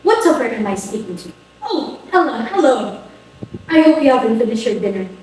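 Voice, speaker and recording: A woman's voice: a little high, very animated, normal speed, darkish tone, slight background noise. A woman acting a flustered, apologetic grown daughter in a dramatic reading, fretful and pleading. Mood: polite. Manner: fretful, pleading, self-blaming. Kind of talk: stage-style dramatic reading. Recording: very echoey room, distant mic.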